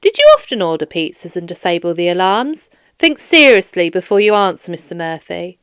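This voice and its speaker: A woman's voice: fairly low pitch, very animated, fairly bright tone, clear, resonant tone, no room sound, studio quality, voice pushed down. A woman voicing a firm, formal police detective questioning a suspect in a children's audiobook. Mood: probing. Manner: formal, measured, stern. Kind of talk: children's audiobook character dialogue.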